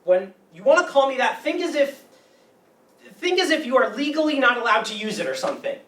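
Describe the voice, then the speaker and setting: A man's voice: very high-pitched, big pitch swings, fairly quick, a bit bright, heavily acted. A man playing an earnest, lovesick teenage boy in a staged play reading, emphatic and self-serious. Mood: indignant. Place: room at a live staged reading. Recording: roomy, distant mic with background noise.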